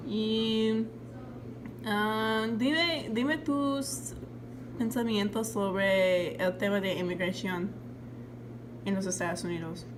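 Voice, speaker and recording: A woman interviewing in Spanish with a hesitant manner, pausing often with fillers and apologizing as she searches for her questions. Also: mid-range voice, fairly even intonation, noisy background. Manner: hesitant, many fillers, self-conscious laughter. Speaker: interviewer, student. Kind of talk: hesitant language-class interview.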